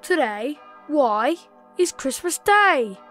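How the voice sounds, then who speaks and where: A young male plays a cheeky street boy in an audio drama. His high, bright voice draws out each reply at a slow pace over music. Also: very animated, heavily acted.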